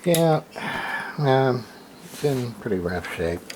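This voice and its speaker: A man giving a casual, unscripted show-and-tell of equipment in a halting, matter-of-fact way, as if muttering to himself. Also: medium pitch, big pitch swings. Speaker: hobbyist radio repairer, video host. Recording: clearly noisy amateur recording.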